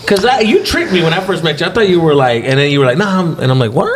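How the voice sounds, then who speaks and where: A man in his thirties co-hosting a podcast, reacting with quick jokes in a studio. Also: fairly high pitch, slight background noise. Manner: lively, jokey, quick reactions. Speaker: podcast co-host. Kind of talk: casual chat, joking reactions. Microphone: studio mic on boom arm.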